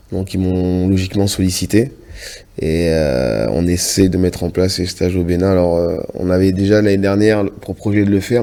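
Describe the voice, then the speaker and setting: Man, low mellow voice, earnest guest. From France. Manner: earnest, halting, restarts often. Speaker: studio guest. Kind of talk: casual TV interview answers. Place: TV studio set.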